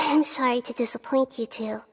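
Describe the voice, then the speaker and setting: A woman voicing a small, wise, childlike goddess in a video game, speaking in a gentle, measured and articulate way. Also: high-pitched, noisy background, lightly acted. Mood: sympathetic. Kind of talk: scripted video game voice acting.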